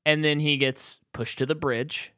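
A man's voice: fairly high pitch, steady tone, unhurried, a bit bright. A man co-hosting a podcast, chatting casually about a movie and acting out quotes with animation. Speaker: podcast co-host.

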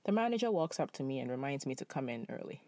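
A young man from Nepal telling a personal story in spoken-word style, measured and reflective. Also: mid-range voice, big pitch swings, mellow, no room sound.

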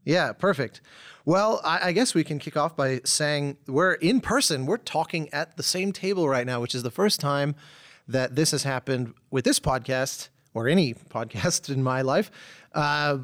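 Male interviewer, somewhat higher register, casual and wordy with a dry, digressive streak. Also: animated, moderate pace, fairly bright tone. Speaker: podcast host, interviewer. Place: in person at a table, at a conference.

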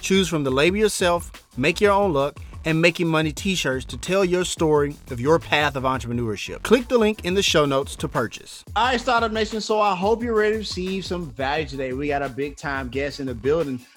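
A man hosting a startup podcast in an upbeat, energetic way, often repeating short phrases of agreement. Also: a little high, fairly quick, few pauses, soft background music. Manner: upbeat, repeats phrases like "for sure" and "got you". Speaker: podcast host.

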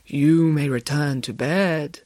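A woman's voice: mid-range voice, animated, a bit bright, no room sound, voice pushed up, close to an everyday voice. A woman voicing a stern girl who poses as a ghost, sounding authoritative and dramatic in a storybook. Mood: commanding. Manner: authoritative, dramatic, slow delivery.

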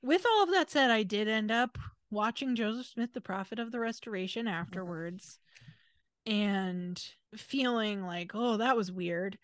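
A woman's voice: mid-range voice, unhurried, no echo, clean studio sound. A woman around her forties with an American accent, co-hosting a podcast in casual, chatty and emphatic talk. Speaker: podcast co-host. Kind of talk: casual chat.

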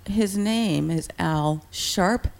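A woman co-hosting a talk show with a raspy voice, making teasing, skeptical asides. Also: lowish voice, extremely slow, darkish tone. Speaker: co-host.

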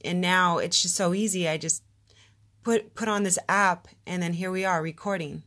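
A woman's voice: fairly low pitch, steady tone, normal speed, fairly bright tone. An American woman hosting her podcast, chatty, upbeat and playful, laughing easily. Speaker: podcast host. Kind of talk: casual podcast chat.

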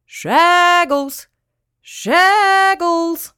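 A woman acts out a kindly storybook man, the master of a dog. He talks in a puzzled, coaxing way with animated rises and falls, in a dry recording. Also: mid-range voice, measured pace, no echo. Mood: calling out. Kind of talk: children's storybook character voice.

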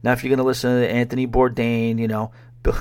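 A man's voice: mid-range voice, level tone, quick pace, a little mellow, clear, resonant tone. A man hosting a solo podcast, talking casually in a wry, sarcastic way with frequent restarts. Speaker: podcast host. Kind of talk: casual solo podcast talk about cruises.

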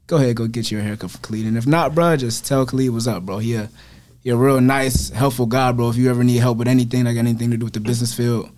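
A young man co-hosts a campus podcast in a loose, laid-back way, dropping slang and "bro" into nearly every line. His voice sits at an ordinary middle pitch. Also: fairly even intonation, fast, a little mellow. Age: young adult. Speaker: podcast co-host. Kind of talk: casual podcast chat.

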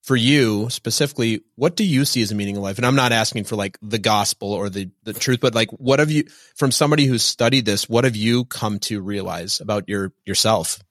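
A man's voice: fairly low pitch, a little fast, few pauses, fairly bright tone, no room sound, studio quality. A man hosting a podcast, introducing his guest and asking follow-up questions in an enthusiastic, chatty way. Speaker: podcast host. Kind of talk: podcast interview.

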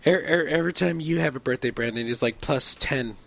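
A man's voice: a little high, fairly quick, gravelly, a bit bright. A man in his late twenties co-hosting a casual chat, laid-back and teasing. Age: 20s. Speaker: podcast co-host.

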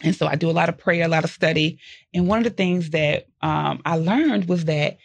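A woman host and minister with a soft voice, chatting gently in a faith-based interview. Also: low-pitched, brisk, gravelly, no room sound. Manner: gentle, country-style talk with frequent affirmations.

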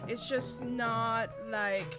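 A woman's voice: fairly high pitch, steady tone, very slow, over loud music. A woman in her thirties with a Venezuelan Spanish accent, a native Spanish speaker, chatting as an interview guest in a friendly, hesitant way. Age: 30s. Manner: friendly, hesitant, restarts sentences. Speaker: professional dominatrix guest. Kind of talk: casual interview chat.

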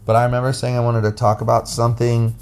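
A man's voice: mid-range voice, monotone, fast, a little mellow. A man co-hosting a casual, unscripted podcast, explaining things in a rambling way into a phone. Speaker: podcast co-host. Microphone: recorded into a phone app.

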